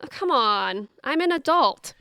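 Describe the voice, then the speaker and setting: A woman's voice: sits high, animated, unhurried, bright, forceful tone, heavily acted. A woman playing an exasperated, independent grown daughter in an audio play, young adult, dry and wry in manner. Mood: wry, defensive. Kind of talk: audio theatre dialogue.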